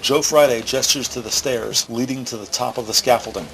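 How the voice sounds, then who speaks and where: Bright-voiced male crime drama narrator. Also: mid-range voice, brisk, gravelly, slight background noise, rough recording. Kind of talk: scripted present-tense crime drama narration. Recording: faint music behind.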